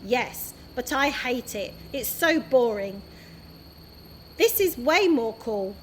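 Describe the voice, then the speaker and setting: A woman's voice: on the high side, lively intonation, slow pace, slight background noise. A woman voicing a spoiled, blunt little sister. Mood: excited.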